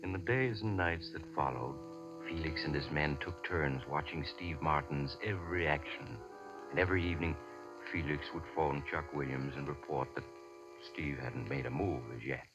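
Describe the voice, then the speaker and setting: An actor narrating a 1940s radio suspense tale in a measured, ominous, theatrical way. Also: male voice, rumbling low, music in the background. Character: ominous, composed mystery-story narrator. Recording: dull vintage radio recording.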